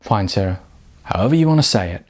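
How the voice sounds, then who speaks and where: A man voicing a casual, evasive young boyfriend who speaks haltingly, in an audiobook. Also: on the low side, lively intonation. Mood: weary. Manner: hesitant, halting, noncommittal. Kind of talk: audiobook character dialogue.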